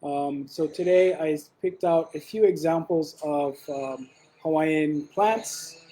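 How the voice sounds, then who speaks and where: Relaxed man giving a webinar talk. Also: on the high side, takes it slowly, low-quality recording. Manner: relaxed, with frequent ums and restarts. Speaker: webinar presenter.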